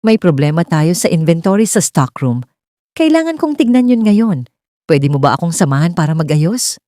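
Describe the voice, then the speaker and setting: Lady doing a jokey flirty guy boss from a romance story, breezy n teasing, very animated, dry sound. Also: fairly low pitch, moderate pace, no echo. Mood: casual, joking. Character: joking, flirtatious, easygoing male store supervisor.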